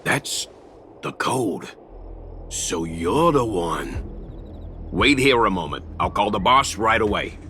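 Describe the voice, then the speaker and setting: Slow, defiant gangster henchman. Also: fairly low pitch, sing-song, pushed and bright, slight background noise, heavily acted. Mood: curt, businesslike. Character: suspicious, principled male gangster henchman guarding his boss. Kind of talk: video game voice acting. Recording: game music behind the voice.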